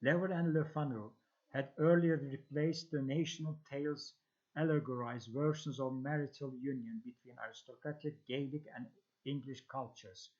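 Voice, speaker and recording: A man's voice: medium pitch, darkish tone. Man reading academic text, dull lo-fi audio. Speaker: lecturer.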